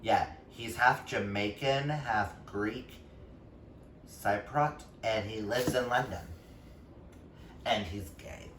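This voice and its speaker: A man chatting casually about books as a vlogger, gushing and emphatic with dramatic pauses. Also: on the low side, fairly bright tone. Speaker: book reviewer vlogger, reading challenge co-host. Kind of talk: casual book vlog chat. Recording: roomy, distant mic, faint noise.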